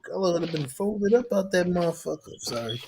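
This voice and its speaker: A man's voice: high-pitched, expressive, brisk. A man telling stories in blunt, profane street slang during a casual podcast chat. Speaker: podcast guest. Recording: noisy background hiss.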